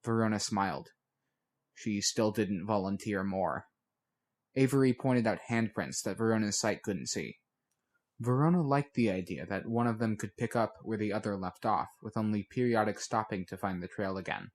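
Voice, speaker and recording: A man's voice: lowish voice, normal speed. Male audiobook narrator, scripted fiction.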